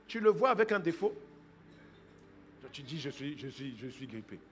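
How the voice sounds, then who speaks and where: A man preaching a sermon as pastor. He swings from hushed asides to loud exhortation, acts out people's excuses in quoted voices and breaks into praying in tongues. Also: a little high, sing-song, normal speed, very noisy.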